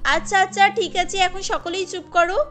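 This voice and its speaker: A woman plays a female cartoon schoolteacher, orderly and mildly firm. Her voice is very high, and music plays behind it. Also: steady tone, moderate pace, fairly bright tone. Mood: instructing. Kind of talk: cartoon character voice.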